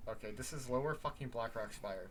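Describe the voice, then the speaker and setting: A man's voice: mid-range voice, fairly quick, a crowd in the background. A man giving gaming commentary, explaining in a profane, matter-of-fact way. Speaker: commentator in the old video.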